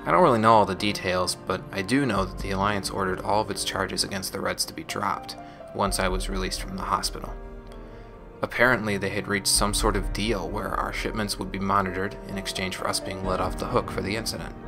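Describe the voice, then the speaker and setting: A man voicing a young former gang operative, telling painful memories in a measured, scripted audio log. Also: deep voice, steady tone. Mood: regretful, reflective. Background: music.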